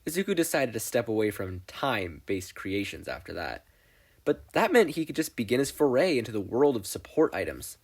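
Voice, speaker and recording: Lively, husky-voiced man reading a story. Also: mid-range voice, a little fast. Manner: playful, wry storytelling. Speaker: podfic reader. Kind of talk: expressive scripted fan fiction narration.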